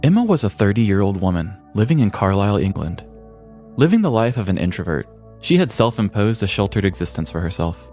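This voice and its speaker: A man's voice: on the low side, very animated, a little fast, darkish tone, faint music behind. An American man hosting a podcast, reading a scripted comedic story with arch, theatrical mock-seriousness. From United States. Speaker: podcast host.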